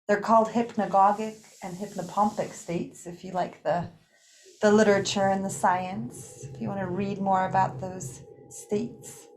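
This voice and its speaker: A woman leading a guided relaxation practice in an unhurried, soothing manner, pausing often between phrases. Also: mid-range voice, flat delivery, soft background music, rough recording. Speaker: guided meditation teacher. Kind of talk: guided yoga nidra relaxation.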